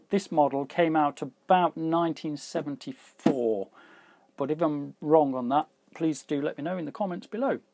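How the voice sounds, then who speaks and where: A man with a slightly husky voice gives casual hands-on repair commentary, thinking aloud informally as he works. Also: a little high, a little mellow, no echo. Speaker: repair hobbyist presenting a video.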